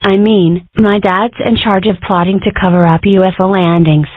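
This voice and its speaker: A woman's voice: medium pitch, steady tone, normal speed, no room sound, natural voice. A woman voicing an earnest, fretful young fox girl in a comic dub. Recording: tinny, phone-like, dry.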